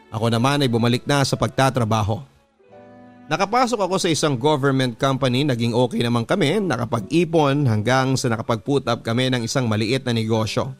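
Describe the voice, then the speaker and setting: A man with a Filipino accent, a radio host reading listener letters as earnest, scripted first-person storytelling. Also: medium pitch. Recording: music bed under the voice. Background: background music.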